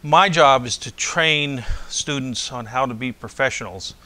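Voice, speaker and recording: A man's voice: medium pitch, unhurried, pushed and bright. An older man lecturing to a class in a large hall, speaking conversationally through a lapel mic and often asking "okay?". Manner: conversational, rambling, checks in with "okay?". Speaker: university professor.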